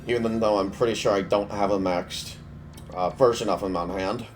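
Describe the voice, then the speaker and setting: A young man with a cold, his voice stuffy, giving relaxed, offhand commentary while playing a mobile game. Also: on the low side, moderate pace, soft background music. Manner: relaxed, offhand, thinking aloud. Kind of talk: casual gameplay commentary.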